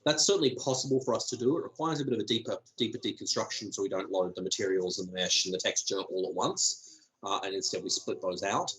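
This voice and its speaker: Low husky guy talking tech, voice going hoarse, lots of ums, thinks out loud. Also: fast talker, rough recording. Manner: thinking aloud, frequent ums and restarts. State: losing his voice, clearing throat. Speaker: developer answering community questions. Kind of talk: casual technical Q&A.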